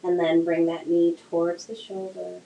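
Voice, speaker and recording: A woman teaching a slow yoga class with gentle, soothing cues, her voice a little nasal from a cold. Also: on the low side, level tone, creaky, lo-fi sound. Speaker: yoga instructor. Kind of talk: guided yoga class instruction. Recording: echoey room, faint noise.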